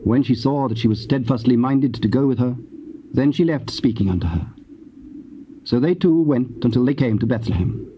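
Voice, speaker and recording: A man narrating scripture in a solemn, measured, formal style as part of an audio drama. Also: mid-range voice, lightly acted. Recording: muffled hissy old cassette tape.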